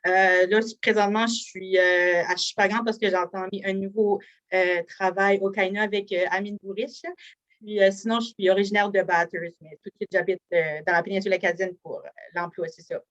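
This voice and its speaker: A woman's voice: medium pitch, steady tone, brisk, a bit bright, muffled recording. A woman from New Brunswick, Canada, introducing herself casually with fillers on a video call. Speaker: community worker. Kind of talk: panel self-introduction.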